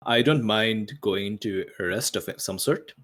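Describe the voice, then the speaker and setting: A man with a fairly low voice chats as a player at a tabletop game. He is informal and agreeable, working out his character's choices aloud. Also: measured pace, fairly bright tone. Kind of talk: casual tabletop roleplay discussion.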